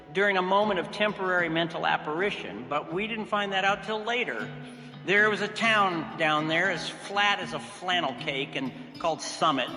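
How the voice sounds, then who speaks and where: A man's voice: high voice, lightly acted. An actor playing a folksy small-time swindler, narrating his caper in the first person with wry, wordy delivery. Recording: long reverb, music clearly behind.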